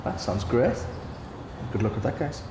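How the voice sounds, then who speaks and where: A man's voice: medium pitch, lively intonation, normal speed, noisy background. A man presenting a show, chatting casually and encouraging participants. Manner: friendly, encouraging. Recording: echoey room with music. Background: music.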